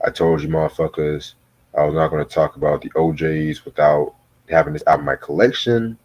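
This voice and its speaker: A man's voice: extremely low pitch, steady tone, brisk, darkish tone. A man hosting a music review as a casual chat, speaking informally with plenty of slang and swearing. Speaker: host of a music review show.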